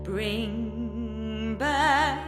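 High bright woman singing in long reverb. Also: extremely slow, soft background music. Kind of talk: slow mournful singing. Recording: echoing hall-like reverb.

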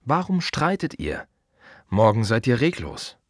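An actor playing a blunt, brooding gravedigger in a radio drama monologue, speaking colloquially in short, repeated, emphatic phrases. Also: male voice, mid-range voice, huge pitch swings, unhurried, no room sound, clean studio sound. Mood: agitated. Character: blunt, brooding male gravedigger musing on life and death.

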